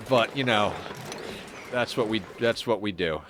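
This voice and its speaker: A man with a low voice and a stuffy, congested nose talks through a game he is streaming. He mutters his plans half to himself, mocks his own choices and chuckles often. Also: background noise. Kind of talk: casual gameplay commentary.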